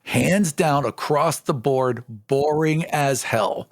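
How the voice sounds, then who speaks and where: A man's voice: fairly high pitch, slow, no echo. Opinionated man in a dry recording. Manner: opinionated, emphatic. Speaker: podcast co-host. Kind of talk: casual conversational chat about games.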